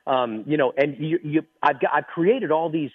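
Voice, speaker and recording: A middle-aged man with a naturally high speaking voice, a ventriloquist telling stories with eager restarts in a phone interview. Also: slightly rough, no echo. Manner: eager, quick storytelling with many restarts. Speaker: ventriloquist guest. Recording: tinny phone line, dry.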